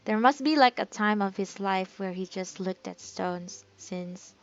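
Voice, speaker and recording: A woman does casual playthrough commentary with light, offhand remarks. She talks at a slow, easy pace, and the sound is very dry and slightly dull. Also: mid-range voice, no room sound. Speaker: gameplay streamer.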